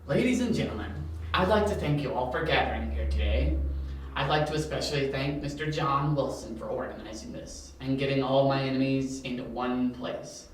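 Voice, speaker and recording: A man's voice: medium pitch, normal speed, slight background noise, natural voice. A man playing a smug criminal mastermind in an amateur audio drama, formal and mock-courteous. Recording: very echoey, distant from mic.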